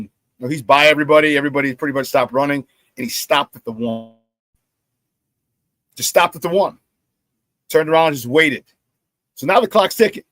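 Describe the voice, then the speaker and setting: Brief: middle-aged male sports talk host, hoarse, dry close mic, animated and repetitive. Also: a little high, dry recording. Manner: animated storyteller who repeats phrases and laughs at his own stories. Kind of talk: casual sports talk monologue.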